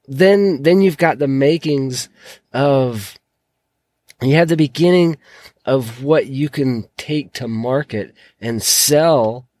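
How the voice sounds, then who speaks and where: A man hosting a solo podcast, his voice croaky from a sore throat, talking in an earnest, encouraging way. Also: medium pitch, dry recording, studio quality. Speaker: podcast host.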